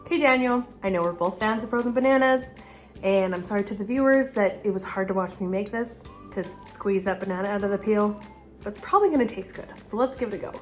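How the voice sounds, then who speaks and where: A young woman vlogging casually from home, her voice raspy and hoarse from being sick, chatty and upbeat. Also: mid-range voice, quick pace, faint music behind. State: hoarse from illness. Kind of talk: casual recipe vlog. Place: living room at home, indoors.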